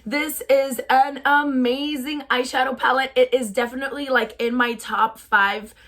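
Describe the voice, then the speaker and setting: A young woman beauty vlogger with a raspy, tired voice, chatting casually about products, gushing and full of "like" fillers. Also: high voice, steady tone, normal speed, a bit bright. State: voice tired from shouting. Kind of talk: casual product review chat.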